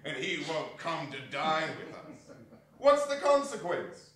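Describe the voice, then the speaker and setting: Jovial young nephew, male stage reader, bright animated delivery, merry and exasperated, heard from afar in an echoey hall. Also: a little high, slow, exaggerated voice. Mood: amused exasperation. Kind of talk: theatrical dramatic reading on stage. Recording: echoey hall, distant microphone.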